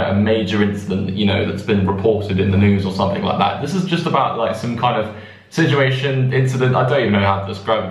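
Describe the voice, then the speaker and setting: A young British man telling a casual story to viewers in a chatty, rambling way that often interrupts itself. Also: fairly low pitch. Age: young adult. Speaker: vlogger. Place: indoors in a bathtub in a bathroom. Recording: echoey bathroom with music behind.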